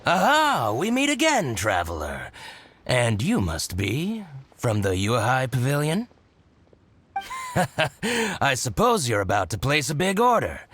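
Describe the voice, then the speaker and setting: An actor playing a scheming, excitable merchant in a video game, theatrical and spiteful, full of exclamations. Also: male voice, mid-range voice, measured pace, slight background noise. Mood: eager, oily. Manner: theatrical, exclaiming with grunts and chuckles. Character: scheming, excitable male merchant, spiteful toward business rival. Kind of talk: English video game voice acting. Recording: music behind the voice. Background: game music.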